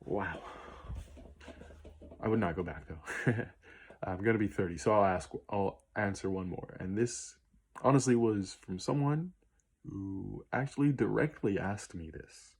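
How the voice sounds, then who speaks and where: Late-20s male musician vlogger, low, slightly hoarse voice, loose and unscripted, spells out names slowly. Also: darkish tone. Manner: easygoing, rambling with many ums and spelled-out names. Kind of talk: casual Q&A vlog.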